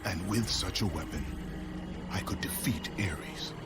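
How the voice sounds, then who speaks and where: Deep, gruff, grim warrior. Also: male voice, measured pace, over music. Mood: grim, intent. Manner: terse, intense. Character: grim, angry, battle-hardened warrior man. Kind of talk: video game cutscene acting.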